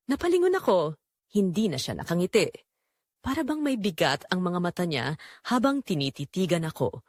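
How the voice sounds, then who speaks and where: Actress as sensual, restless Filipina wife confessing an affair; very animated pitch, dry close sound, audio drama narration. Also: female voice, normal speed, dry recording. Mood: uneasy, intrigued.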